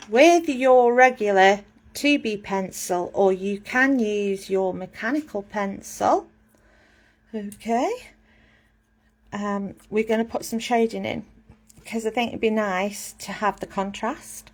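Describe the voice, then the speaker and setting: A woman's voice: medium pitch, slow. A woman giving a casual live drawing lesson, chatty and self-mocking as she talks through each step while drawing. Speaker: drawing teacher.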